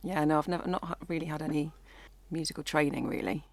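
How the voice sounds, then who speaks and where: Woman, gravelly fry in her voice, dry close sound, modest rambling answers. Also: low voice, steady tone, quick pace, darkish tone, no echo. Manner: modest, rambling, with frequent restarts and laughs. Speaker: singer and musician guest. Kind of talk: casual interview chat.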